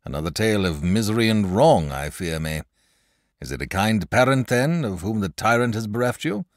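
A man voicing a kindly, solemn knightly saint in a storybook reading, grave and gentle in old-fashioned speech. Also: on the low side, expressive, normal speed, no echo, studio quality, lightly acted.

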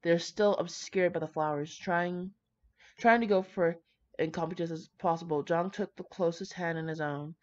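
A woman's voice: on the low side, steady tone, normal speed, a little mellow, dull audio. A young woman reading fan fiction aloud, with brash, slangy, profane casual commentary.

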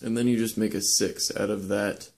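A young man casually demonstrating how to lash boat joints, talking in a relaxed, halting way with many ums and uhs. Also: on the low side, vocal fry. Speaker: woodworking instructor. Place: indoor workshop.

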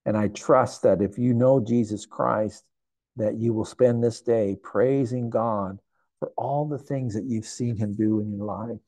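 An older man in his sixties preaches earnestly from a church, pausing to reflect and repeating his key phrases. His voice is low, gravelly and husky, with a mellow darkness, and the sound is dry and close. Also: fairly even intonation, no echo. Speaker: pastor. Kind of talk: sermon. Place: indoor church sanctuary.

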